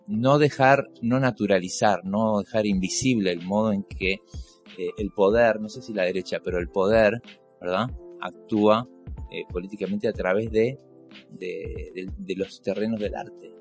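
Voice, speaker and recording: Male academic, low register, hesitant reflective panel delivery, faint music bed. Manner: thoughtful, frequent hesitations and tag questions. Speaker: theatre researcher.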